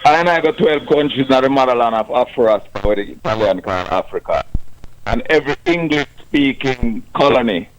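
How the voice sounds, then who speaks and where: A man with a Jamaican accent calling a radio show, chatting in an animated way in patois. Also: medium pitch, normal speed, poor audio. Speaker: radio caller.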